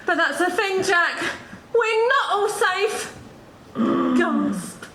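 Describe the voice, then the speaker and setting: A melodramatic, hapless cow from a stage pantomime acted by a woman, high and very animated. She sounds plaintive and exaggerated in an echoey theatre with slight noise. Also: extremely slow, heavily acted. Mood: anxious, plaintive. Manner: exaggerated, plaintive comic delivery.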